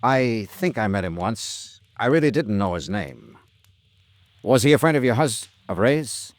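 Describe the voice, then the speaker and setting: A man acting a cool, terse insurance investigator in a 1950s American radio drama, narrating in a clipped, deadpan way. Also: mid-range voice, a little slow, no room sound. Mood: polite, guarded. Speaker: lead actor and narrator. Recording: old radio recording with music.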